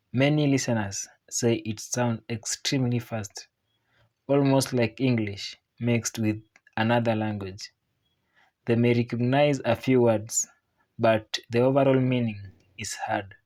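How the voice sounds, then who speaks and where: A narrator delivers a scripted explainer at a medium pitch. The reading is measured and informative in style, set out in clear statements. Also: a little mellow.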